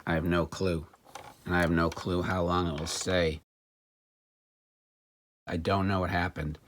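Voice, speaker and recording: Low-voiced male vlogger, fry in the tone, thinking out loud while demonstrating gear; slight background noise. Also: flat delivery, measured pace. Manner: musing, thinking aloud. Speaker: vlogger demonstrating and repairing vintage electronics. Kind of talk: casual hands-on demonstration talk.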